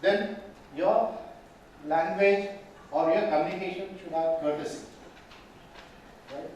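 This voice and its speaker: A man's voice: sits high, takes it slowly, a little mellow, far from the mic, lo-fi sound. A man, teaching a mediation class and often asking the room questions. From Tamil Nadu, India. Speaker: mediation trainer. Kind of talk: classroom lecture. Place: large echoey training hall. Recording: very echoey hall, speaker off mic, faint room hum.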